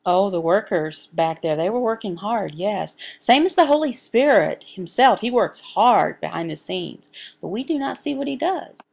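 Woman reading out a signed sermon, kinda gravelly, goes phrase by phrase, mid pitch. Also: big pitch swings, moderate pace, hardly any pauses, a little mellow. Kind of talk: sermon voiced from sign language.

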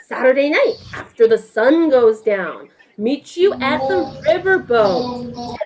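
A woman's voice: on the high side, very animated, slow, background noise. A woman voicing enthusiastic, music-loving alligators from a picture book, bold and emphatic in manner. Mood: eager.

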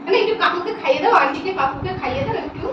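Really high-pitched lady chatting with family, swoopy voice, noisy room, far from mic. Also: animated, very fast, very echoey, dull audio. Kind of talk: casual chat at a family gathering.

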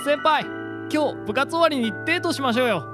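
A man playing an earnest, caring high-school boy, a tender and dramatic love interest in a parody dating-game trailer. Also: expressive, fairly quick. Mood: sweet. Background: music.

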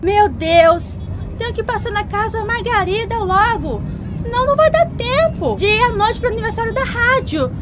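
An actress playing a hurried, excitable, impatient woman in a radio soap, shrill and exclaiming. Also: a crowd in the background. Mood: hurried, excited. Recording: street and car noise.

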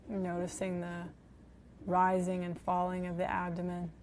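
A woman guiding a body scan meditation in a gentle, soothing manner, leaving long pauses between phrases. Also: on the low side, monotone, slight background noise. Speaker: meditation guide.

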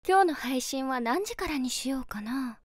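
A woman's voice: sits high, lively intonation, moderate pace, no room sound, clean studio sound. An actress playing a composed, ladylike streamer in her late twenties, privately smitten, musing to herself in a feminine way in a voice drama. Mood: calm, businesslike. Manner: feminine, softly self-questioning musing. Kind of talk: Japanese situation voice drama monologue.

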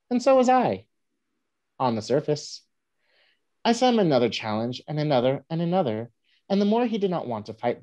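Man playing wry frontier newspaperman, animated and mellow. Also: a little high. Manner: wry, droll, ornate phrasing. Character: Sam Clemens, wry boastful frontier newspaperman telling tall tales. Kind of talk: staged reading, storytelling monologue. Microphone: video call. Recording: dull, muffled video-call audio.

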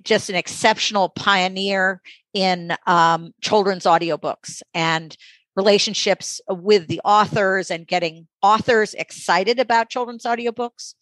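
A mature woman speaking as an interview guest, thoughtful and conversational, halting and reflective as she pauses mid-sentence. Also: mid-range voice, fairly even intonation, a bit bright, no echo. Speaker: interview guest, audiobook magazine editor.

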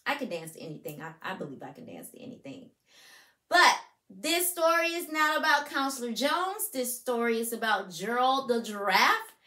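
Animated, high-voiced woman reading to children. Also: moderate pace. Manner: expressive, addresses young listeners as friends. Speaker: school counselor and read-aloud host. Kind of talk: animated children's storybook read-aloud and introduction. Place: furnished room at home, indoors.

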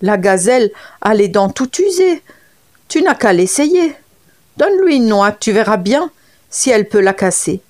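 A woman voicing a sly female frog who croaks and wails to dodge her debts. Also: medium pitch, big pitch swings, normal speed, a little mellow, close to an everyday voice. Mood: coaxing. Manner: croaking, mournful pleading. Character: wailing female frog debtor, sly and evasive.

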